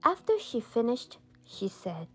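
Woman reading a storybook, very lively pitch. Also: a little slow, faint music behind. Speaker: storyteller reading a picture book aloud. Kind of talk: expressive children's storybook read-aloud.

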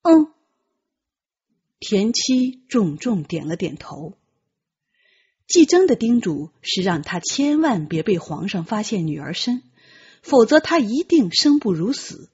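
A woman narrating a novel as an audiobook, with measured, expressive dramatic storytelling. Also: medium pitch, breathy edge, lo-fi sound.

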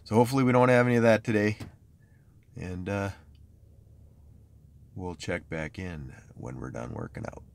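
A man's voice: deep voice, animated, normal speed, vocal fry, pauses often, a little mellow. A middle-aged man vlogging casually from his car and home, chatty and a self-described complainer. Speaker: fitness vlogger. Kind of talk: casual vlog talk. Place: parked car, then outside a truck, then home office.

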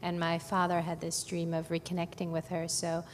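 A woman from Brooklyn recounting her life in an oral history interview, hesitant with many pauses and fillers. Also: fairly low pitch, completely flat delivery. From Brooklyn, New York. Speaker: oral history narrator on a panel. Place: conference hall. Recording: echoey hall, faint background noise.

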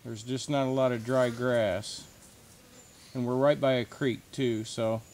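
Middle aged guy doing a laid back outdoor vlog, folksy, average pitch, takes his time. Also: fairly even intonation, a little mellow, a little hiss or hum. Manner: folksy, unhurried. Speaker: outdoor vlogger. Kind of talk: casual narration to camera. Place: outdoors in the woods. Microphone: camcorder a few feet away.

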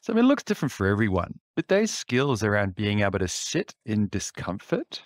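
A New Zealand man in his fifties speaks as a podcast guest over a video call, sounding reflective and often restarting his sentences. His voice sits a bit low, and the recording is dry and close, with almost no room sound. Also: wildly swooping pitch, a little fast, no echo. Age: 50s. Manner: reflective, with light laughs and frequent restarts. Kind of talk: casual interview chat. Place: indoor office. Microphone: headset on a video call.

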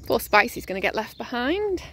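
A woman's voice: on the high side, big pitch swings, a little fast, pushed and bright, slight background noise. A woman coaching a child on a pony outdoors, upbeat and full of praise. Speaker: parent coaching a child rider. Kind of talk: casual encouraging instruction. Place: outdoors at a rural pony paddock and lane.

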